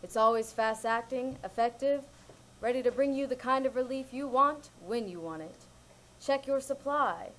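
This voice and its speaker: A woman reading a recreated old-time radio commercial in a bright, singsong announcer manner. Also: on the high side, normal speed. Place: live theater stage.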